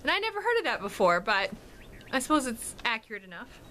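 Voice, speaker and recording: Bright-voiced chatty woman, Chesapeake accent. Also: on the high side, big pitch swings, fast, a little hiss or hum. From Washington, D.C. metro area. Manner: chatty, giggly, rambling. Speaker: vlogger. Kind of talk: casual chat to camera. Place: home in North Carolina.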